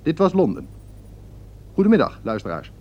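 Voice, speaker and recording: A man playing a formal radio news correspondent who delivers measured reports, in a dull lo-fi radio recording. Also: on the high side, huge pitch swings, gravelly, full character voice.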